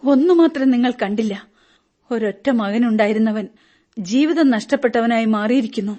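A woman's voice: a little high, moderate pace, dark tone, highs cut off, natural voice. An actress playing a bitter middle-aged wife and protective mother in a radio drama, accusing and defiant. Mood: bitter, sarcastic. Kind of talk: Malayalam radio drama acting.

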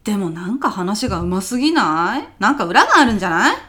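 A woman's voice: mid-range voice, very animated, fast talker, sharp tone. A woman playing a middle-aged housewife in a comedic radio sketch, reacting with exaggeration, easily irked and easily impressed. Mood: doubtful, pondering. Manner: exaggerated reactions, colloquial feminine speech. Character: married housewife, easily irked by husband, gushing and gullible.

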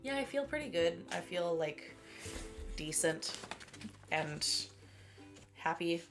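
A woman's voice: fairly low pitch, lively intonation, takes it slowly, music in the background. A Canadian woman in her late twenties, co-hosting a podcast in a casual, rambling chat, with a stuffy, sniffly nose. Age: late 20s. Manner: chatty, rambling, laughs often. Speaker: podcast co-host.

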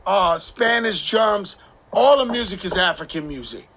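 A man's voice: high voice, expressive, a little slow, sharp tone. A man in a played clip, speaking emphatically and often repeating "know what I'm saying" as he makes his points. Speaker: interviewed guest in a clip. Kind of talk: emphatic opinion monologue.

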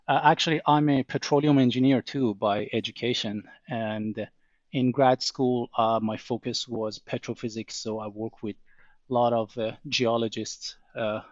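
A man, a podcast guest, explaining his work in a measured way with frequent pauses. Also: medium pitch, fairly even intonation, no room sound, highs cut off. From Iran. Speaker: guest, oil trading commercial operator. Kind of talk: casual interview answers.

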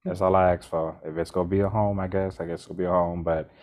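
A man's voice: sits low, fast talker. A man co-hosting a podcast, talking casually in a rambling way with bursts of energy. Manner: rambling, restarts often, animated bursts. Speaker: podcast co-host.

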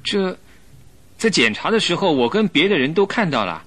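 An actor playing an earnest police inspector in a radio drama, opinionated yet easily flustered, explaining himself defensively. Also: male voice, fairly high pitch, very animated, normal speed, a bit bright, a little hiss or hum.

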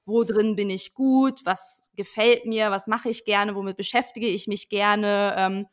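A woman's voice: mid-range voice, steady tone, unhurried. Chatty woman in her twenties. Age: 20s. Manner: chatty, frequent fillers. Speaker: podcast guest. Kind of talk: casual interview chat.

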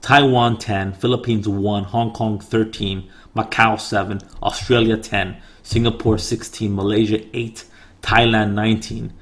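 A man with a raspy voice chatting casually as co-host, thinking aloud with fillers and questions. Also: on the low side, little pitch movement, a little slow, fairly bright tone. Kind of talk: casual chat. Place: home in Japan.

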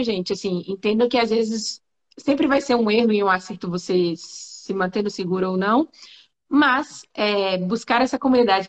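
A woman with a Brazilian Portuguese accent hosting a casual live interview, chatting informally and addressing her viewers directly. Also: medium pitch. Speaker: host. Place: remote video call. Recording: slightly dull video-call audio.

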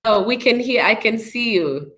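A woman's voice: on the high side, expressive, moderate pace, a bit bright. A Kenyan woman hosting a podcast interview over a video call, with upbeat, speech-like introductions. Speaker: podcast host. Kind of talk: live online interview.

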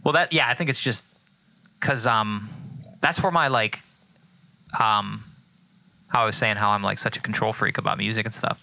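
Laid-back man with a gravelly voice. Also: on the low side, steady tone, moderate pace, long pauses, sharp tone, no echo, rough recording. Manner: laid-back, swears casually, many fillers. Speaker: podcast co-host and musician. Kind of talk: casual chat.

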